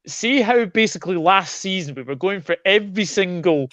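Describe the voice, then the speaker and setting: A man hosting a casual football chat, relaxed and reading out viewer comments. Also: sits high, moderate pace, no echo. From Scotland. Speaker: host. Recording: dry, dull lo-fi mic.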